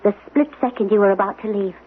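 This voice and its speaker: An actress playing a gentle, wry wife in a vintage radio drama. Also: female voice, mid-range voice, fast, slightly rough, lightly acted. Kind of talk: 1970s radio drama acting. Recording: tinny old radio broadcast.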